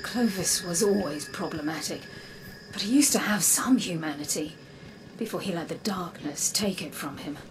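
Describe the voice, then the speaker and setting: An actress playing a weary, resolute robot woman in a video game, speaking in an earnest, measured, solemn way. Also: on the low side, noisy background, natural voice. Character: weary, resolute robot woman, a guarded sister from dark future. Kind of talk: dramatic video game acting. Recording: game music behind the voice. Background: game soundtrack music.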